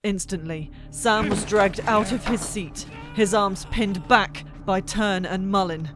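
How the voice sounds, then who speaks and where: Audiobook narrator, mid-pitched, very unhurried, suspenseful and expressive, faint music underneath. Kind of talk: dramatic scripted fiction narration. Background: soft background music.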